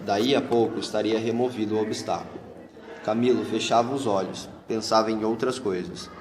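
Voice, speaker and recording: A man's voice: on the low side, steady tone, moderate pace, a little hiss or hum. A Brazilian man narrating a scripted radio drama. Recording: echoey hall, long reverb, music bed. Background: music.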